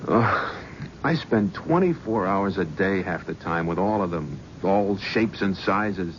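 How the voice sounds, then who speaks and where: A driven, sardonic talent scout, lowish voice, old radio play, dull hissy broadcast. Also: male voice, expressive, a little slow. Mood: bitter. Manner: bitter, restless, sardonic. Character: driven, haunted male talent scout, middle-aged. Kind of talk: 1970s radio drama acting.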